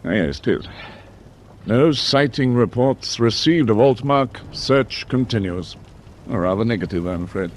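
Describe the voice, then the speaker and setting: A man's voice: medium pitch, lively intonation, a little slow, a little hiss or hum, lightly acted. A man playing a composed senior British naval officer in a radio war drama, clipped, courteous and understated. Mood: pensive, measured.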